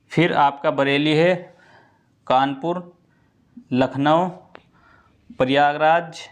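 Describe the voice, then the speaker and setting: A man explains things informally to students as a coaching presenter, repeating key points and often checking in. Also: medium pitch.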